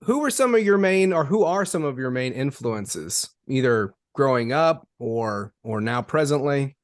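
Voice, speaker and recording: A man's voice: medium pitch, animated, a little slow, dry recording, clean studio sound. A man hosting a casual interview, asking questions in a conversational style. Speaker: podcast host, interviewer.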